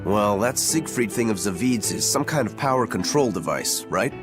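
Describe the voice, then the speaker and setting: A man's voice: lowish voice, animated, normal speed, over music, natural voice. An actor voicing a laid-back young swordsman in game dialogue, talking in a casual, wry, blunt way. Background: game score.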